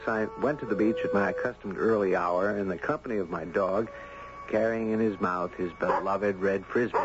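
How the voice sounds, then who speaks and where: A man playing a courteous, curious storyteller, gentle, thoughtful and well-spoken, in a muffled, noisy 1970s radio drama. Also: on the low side, natural voice. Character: courteous, curious man, narrating his own strange tale.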